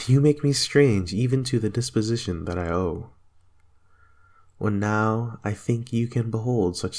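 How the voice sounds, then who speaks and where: A man acts out a haunted Shakespearean king in a dramatic reading. His voice is low and husky, and the verse comes slowly, with a brooding, fearful, raging temper. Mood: shaken. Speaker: amateur reader in a dramatic reading.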